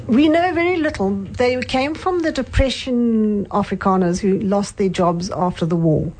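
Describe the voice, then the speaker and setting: A woman's voice: mid-range voice, normal speed, a little hiss or hum, rough recording. An older woman with a South African accent, a guest chatting and reminiscing in an interview. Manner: reminiscing, with soft hums of agreement. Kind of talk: casual interview chat.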